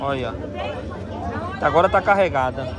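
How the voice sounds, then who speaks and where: A man filming a dice game at an outdoor street market on his phone, commenting in an excited, chatty way and repeating his phrases. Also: medium pitch, normal speed. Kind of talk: casual play-by-play commentary. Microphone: phone held by the filmer. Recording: outdoor phone recording with crowd noise. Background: crowd chatter.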